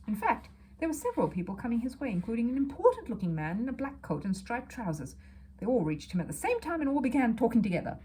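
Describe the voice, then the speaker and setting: A woman reading a children's story aloud as an expressive narrator. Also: mid-range voice, a little hiss or hum, poor audio.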